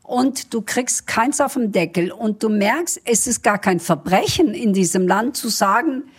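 A woman's voice: on the high side, lively intonation, unhurried, breathy edge. A middle-aged woman from Turkey, a native Kurdish speaker, answering interview questions about her home city in an earnest, enthusiastic way. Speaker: politician guest.

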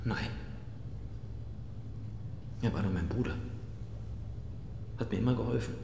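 An actor playing a bitter younger brother confessing guilt in halting, broken phrases in a radio drama. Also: male voice, fairly low pitch, gravelly. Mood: subdued, broken. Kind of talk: German radio drama acting. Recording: roomy interior, faint background noise.

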